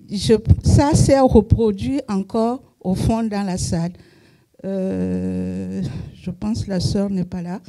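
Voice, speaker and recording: A woman giving a halting testimony in a church service, searching for words. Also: mid-range voice, creaky, mellow. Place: church hall.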